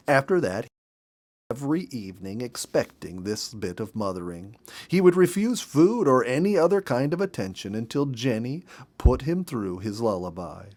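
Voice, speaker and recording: A middle-aged man reads a nature story aloud. His mid-range voice rises and falls freely as he narrates, and he paces phrases deliberately, leaning on key words for dramatic effect. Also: medium pitch, darkish tone. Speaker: storybook reader. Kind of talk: expressive read-aloud narration of a nature memoir.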